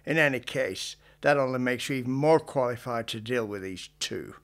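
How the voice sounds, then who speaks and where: An actor playing a smug, mocking ranger boss in a radio drama. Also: male voice, mid-range voice, moderate pace, a bit bright, lightly acted. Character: smug scheming male Texas Ranger boss.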